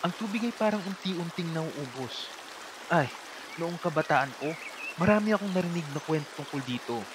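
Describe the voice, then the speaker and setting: A man's voice: on the high side, lively intonation, moderate pace, noisy background, natural voice. A man playing an earnest older village father in an amateur radio drama, speaking in a musing way. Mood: puzzled.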